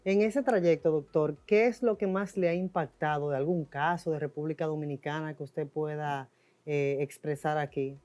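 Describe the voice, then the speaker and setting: A woman's voice: deep voice, fairly even intonation, normal speed, mellow. A woman hosting a health talk show, friendly and inquisitive with her guest. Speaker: host.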